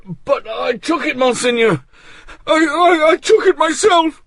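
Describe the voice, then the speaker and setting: A man reading aloud voices a terrified male servant, shrill and stammering in panic. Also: expressive, a little slow, fairly bright tone, no echo, studio quality, full character voice.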